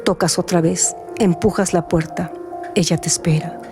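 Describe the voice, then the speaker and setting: A woman's voice: lowish voice, fairly even intonation, moderate pace. A woman narrator and radio host reading in a scripted, literary storytelling style, with music behind her voice.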